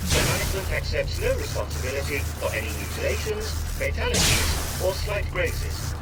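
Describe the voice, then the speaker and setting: Swooping, cheerfully menacing robot announcer. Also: male voice, fairly high pitch. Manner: polite, chirpy, showman-like. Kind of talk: mock public announcement. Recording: loud music behind.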